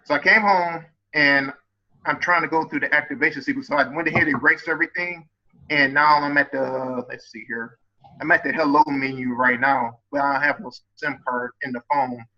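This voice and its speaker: Man on a call, hesitant with lots of ums, bright edgy tone, lo-fi sound. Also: fairly high pitch, fairly even intonation, fairly quick. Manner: hesitant, many fillers. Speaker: student caller. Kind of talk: casual call. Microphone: online call.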